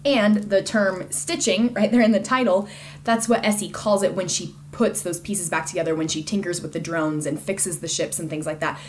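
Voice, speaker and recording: A woman's voice: on the low side, fairly even intonation, normal speed, a little hiss or hum. A woman giving a casual, conversational book review in an informal and enthusiastic way.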